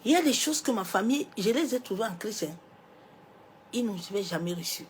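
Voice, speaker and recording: A woman pastor with a cold, preaching a sermon. She swings from loud, emphatic declarations to soft, near-whispered asides and drops little rhetorical interjections as she goes. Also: on the high side, animated, a little fast, slight background noise. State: has a cold, coughing.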